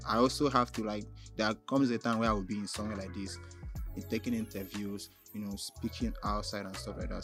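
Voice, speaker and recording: A man with a Nigerian accent shaped by his native Igbo talks casually as a guest in an interview. He feels his way through answers haltingly, leaning on filler phrases. Faint music sits behind his voice. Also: lowish voice. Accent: Nigerian, Igbo-influenced. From Onitsha, southeastern Nigeria. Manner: halting, frequently repeats "like" and "you know" while thinking aloud.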